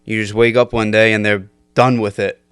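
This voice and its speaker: Low-voiced young man telling stories. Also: level tone, moderate pace, smooth, no echo, clean studio sound. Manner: loose, laughing, lots of "like" fillers. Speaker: podcast guest. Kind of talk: casual storytelling chat.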